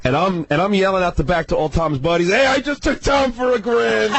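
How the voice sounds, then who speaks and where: A man's voice: sits high, fairly quick, vocal fry, a bit bright, no echo, faint music behind. A man telling a story casually on the radio, repeating and stumbling over words and acting out quotes. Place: radio studio.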